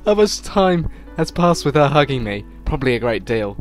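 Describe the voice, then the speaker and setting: A man's voice: medium pitch, big pitch swings, normal speed. A man hosting a let's play, reading visual novel text aloud informally, stumbling over words and adding casual reactions. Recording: game music behind the voice.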